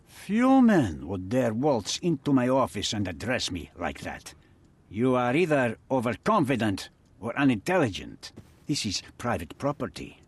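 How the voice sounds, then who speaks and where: A man's voice: medium pitch, huge pitch swings, measured pace, husky, dry recording, heavily acted. A man voicing a cold, threatening crime boss and smuggler in video game cutscene dialogue. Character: menacing male crime boss, smuggler and cutthroat.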